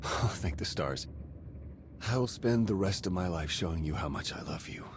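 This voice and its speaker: Game role: nervous, earnest spy, deep register, hesitant and heartfelt, clearly noisy background. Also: male voice, animated. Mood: relieved, tender. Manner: halting, sincere. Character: earnest, remorseful male spy, nervous and lovestruck. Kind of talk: video game cutscene acting.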